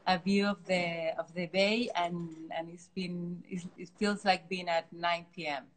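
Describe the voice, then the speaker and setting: Chilean-accented middle-aged woman, halting. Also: fairly low pitch, steady tone, rough recording. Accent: Chilean Spanish. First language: Spanish. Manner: warm, halting, frequent restarts. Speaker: artist guest. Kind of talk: casual interview. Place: art studio.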